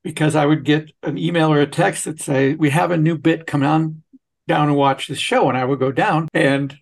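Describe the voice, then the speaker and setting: Podcast interviewer, male. Slightly high voice with noticeable fry, conversational delivery. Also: fairly even intonation, normal speed.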